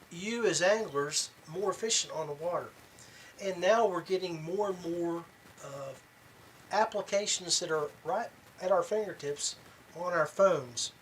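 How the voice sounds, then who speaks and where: A man hosting a podcast solo in casual talk, often pausing partway through his phrases. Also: high voice, fairly bright tone, slight background noise, low-quality recording. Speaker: podcast host.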